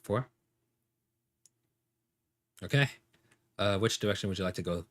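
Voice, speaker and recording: Male game master, low voice, dry close sound, casual and hesitant improvised narration. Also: a little slow, fairly bright tone, no room sound.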